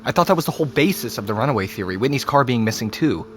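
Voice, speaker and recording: A man's voice: medium pitch, animated, rapid-fire, fairly bright tone, slight background noise, close to an everyday voice. A young man with an American accent, acting in an audio drama as a troubled, sarcastic, loyal teenage boy who talks in casual slang. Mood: confrontational.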